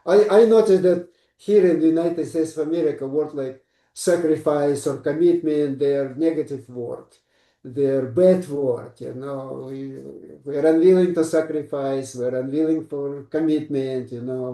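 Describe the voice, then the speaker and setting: Russian accent guy, lots of uh and you know, very dark voice, roomy echo. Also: on the high side, recorded from a distance. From born in Ukraine, raised in Russia. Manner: halting, frequent "uh" and "you know", broken English grammar. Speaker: psychiatrist guest. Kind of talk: casual conversational interview.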